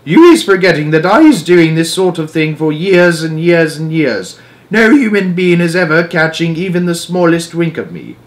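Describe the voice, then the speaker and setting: A man's voice: on the high side, expressive, normal speed, pitched above the performer's own voice, natural voice. A man voicing a big friendly storybook giant who speaks emphatically in muddled grammar. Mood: confident. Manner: confident, exclamatory, broken grammar.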